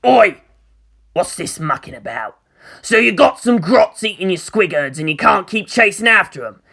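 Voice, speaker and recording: A performer voicing a rough orc brute in a storybook reading, blustering and scolding in slangy speech. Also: medium pitch, very animated, normal speed, sharp tone, heavily acted. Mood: gruff, scolding.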